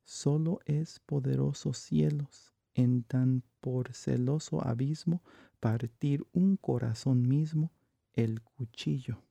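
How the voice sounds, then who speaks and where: A man performing a theatrical verse monologue as a jealous, lovestruck noblewoman from classical comedy, in measured, declamatory phrases. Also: medium pitch, gravelly, dark tone, no echo. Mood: anguished, resolute.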